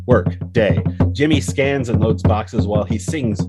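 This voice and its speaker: A man with a low voice narrates a screenplay table read, delivering scene headings and action lines. Loud instrumental music plays underneath his reading. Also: measured pace. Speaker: narrator reading stage directions.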